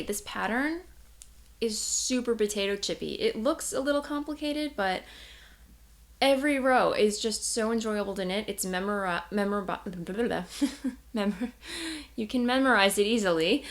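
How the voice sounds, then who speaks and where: A woman's voice: medium pitch, measured pace. A young woman hosting a knitting video podcast, chatting casually and rambling with self-corrections and small laughs. She sounds congested and a bit nasal, and tired.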